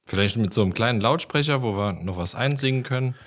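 Wry male podcast co-host, lively pitch. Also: fast. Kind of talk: casual chat.

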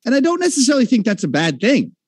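A man's voice: extremely high pitch, wildly swooping pitch, fast, a little mellow, breathy edge, no room sound, studio quality. A man arguing in a heated debate, combative and mocking, with frequent profanity.

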